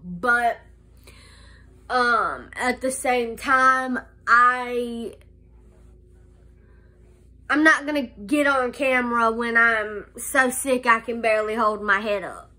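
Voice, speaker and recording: A young woman with a lingering cold talks into her phone in a small room, her high voice sounding stuffy and nasal. She is bright and clear, but she goes slowly and haltingly, restarting sentences as she chats. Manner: slow, halting, many "um"s and restarts. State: nasal from a cold, slight cough. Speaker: vlogger. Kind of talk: casual life update vlog. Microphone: phone camera held close.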